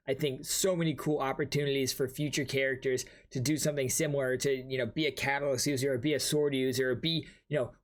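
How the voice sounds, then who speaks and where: Enthusiastic man, casual reaction. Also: mid-range voice. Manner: enthusiastic, informal. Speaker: gaming video host.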